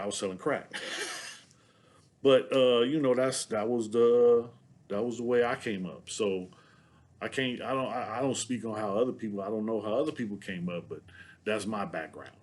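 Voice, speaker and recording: A man's voice: mid-range voice, a little mellow. A middle-aged man from San Diego with a Southern-sounding accent, talking to camera in a loose, rambling monologue full of filler tags. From San Diego, California. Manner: rambling, loaded with "you know what I'm saying" tags. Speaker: vlogger.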